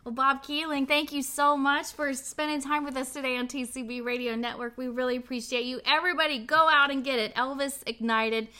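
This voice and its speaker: A woman's voice: high voice, flat delivery, fairly quick, few pauses, pushed and bright. A woman co-hosting a radio podcast, putting questions to a guest and reading show announcements. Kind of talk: interview hosting and scripted sign-off.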